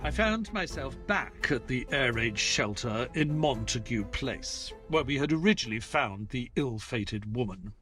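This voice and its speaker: A man's voice: medium pitch, lively intonation, a bit bright, faint music behind. An actor playing an educated, polite male doctor who narrates a detective tale in descriptive, scripted prose. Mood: measured, sombre. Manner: measured, descriptive storytelling, courteous in dialogue. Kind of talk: scripted narration and audio drama acting.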